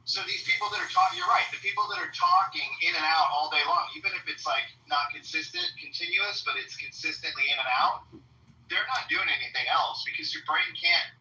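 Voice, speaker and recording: A man with a high, nasal voice chatting casually, rambling and repeating words as he talks. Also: sing-song, a little fast, sharp tone. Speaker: listener in a live audio room. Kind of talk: casual chat. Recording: roomy distant phone audio with faint noise.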